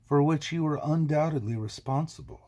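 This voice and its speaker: A man voicing a confrontational, needling leader in an audiobook, pointed and deliberate, with a husky voice. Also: a little high, dark tone, voice pushed up, close to an everyday voice. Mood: accusing.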